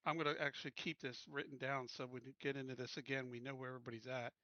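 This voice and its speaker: Bright-voiced man organizing a game, close and dry. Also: mid-range voice, little pitch movement, very fast, no room sound, dull audio. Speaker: player assigning crew stations. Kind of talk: casual tabletop game session over voice chat. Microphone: close computer mic, online voice call.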